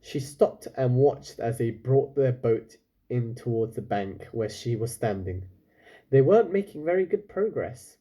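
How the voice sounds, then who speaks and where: A man's voice: mid-range voice, dark tone, husky. A man reading a children's book aloud expressively, stopping now and then for casual, chatty asides to his listeners. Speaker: storyteller, reader.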